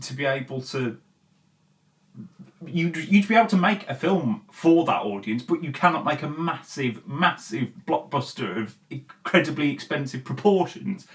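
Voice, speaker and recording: A man's voice: fairly high pitch, expressive, unhurried. A man hosting a commentary show, talking casually and bluntly in an exasperated, sweary way. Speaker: host of a film commentary show.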